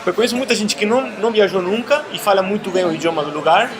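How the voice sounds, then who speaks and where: High-pitched man, casual interviewer. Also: quick pace, crowd noise. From Argentina. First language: Spanish. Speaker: interviewer and host.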